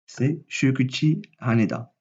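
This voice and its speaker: A man's voice: medium pitch, a little slow, a little mellow, husky, muffled recording. A man with a cold, reading his own theory video as a channel host, with small restarts. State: has a cold. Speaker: anime and manga YouTuber.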